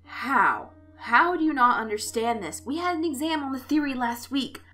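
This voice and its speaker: A woman's voice: high voice, expressive, normal speed, pushed and bright, faint music behind, voice pushed up. A woman voicing an easily irritated, observant young male student, impatient and incredulous, in an audio story.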